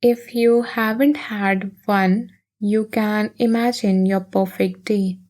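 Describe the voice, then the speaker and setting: Lady doing a guided meditation, really slow, mellow n soothing, long pauses. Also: mid-range voice, steady tone.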